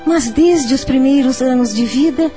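A woman's voice: high voice, moderate pace, music in the background, lightly acted. An actress playing an anxious, long-suffering middle-aged mother in a radio drama, fretful and lamenting. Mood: worried, weary.

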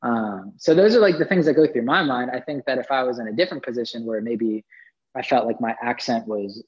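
A man, a musician guest, talking in a relaxed, rambling way and often saying "like". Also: medium pitch, brisk, breathy edge, lo-fi sound. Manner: relaxed, rambling, heavy use of "like". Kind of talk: casual conversation.

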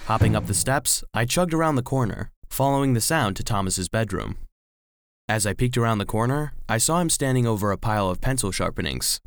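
An earnest, anxious robot vacuum cleaner played by a man tells a children's audio story. The delivery is lively and expressive, at a middling pitch, with music underneath. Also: dry recording, natural voice. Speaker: narrator. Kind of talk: scripted children's audio drama narration.

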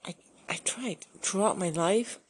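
A woman's voice: medium pitch, measured pace, slight background noise. A middle-aged woman with a Malaysian English accent, talking casually to camera in a chatty, self-deprecating way. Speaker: YouTuber.

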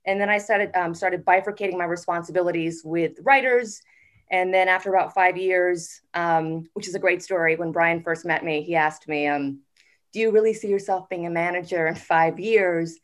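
Chatty woman guest with a lowish voice. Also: steady tone. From United States. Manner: chatty and upbeat, with frequent "um" pauses. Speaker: guest, TV network executive. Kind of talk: casual podcast interview.

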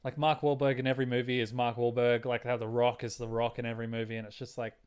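A man's voice: medium pitch, little pitch movement, quick pace, smooth. A man co-hosting a casual movie review chat, thinking aloud in an informal, loosely structured way. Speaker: podcast co-host.

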